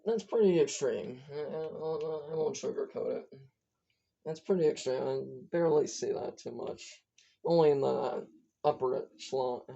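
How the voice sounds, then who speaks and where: Hoarse, gravelly amateur weather forecaster. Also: mid-range voice, dark tone. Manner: rambling, stumbling, frequent self-corrections. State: hoarse, losing voice. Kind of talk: casual online weather briefing. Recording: dull lo-fi recording.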